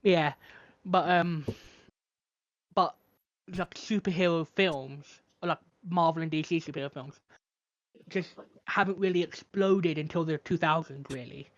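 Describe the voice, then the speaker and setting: A man's voice: on the high side, measured pace, long pauses, a bit bright, highs cut off. A man co-hosting a podcast in casual chat, tentatively searching for his words. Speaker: podcast co-host.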